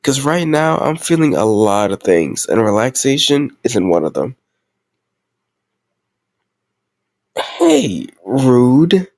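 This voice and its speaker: Guy acting as tattooed gang boss boyfriend being soft n playful, teasy grumbling, medium pitch, super dry close audio roleplay. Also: big pitch swings, measured pace, vocal fry, no echo. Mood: mock grumbling. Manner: teasing, mock-grumbling, affectionate pet names.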